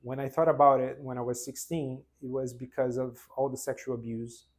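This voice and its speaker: A man in his forties from Brazil with a Brazilian Portuguese accent, whose first language is Portuguese, telling his own story unscripted in an earnest, halting way with many restarts. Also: mid-range voice, flat delivery. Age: 40s. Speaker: vlogger. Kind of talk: spontaneous personal testimony.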